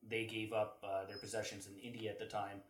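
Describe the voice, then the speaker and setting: A man co-hosting a casual history chat, haltingly searching for details as he talks, with a roomy echo around his voice. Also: fairly low pitch, level tone. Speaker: podcast co-host. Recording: roomy, echoing sound.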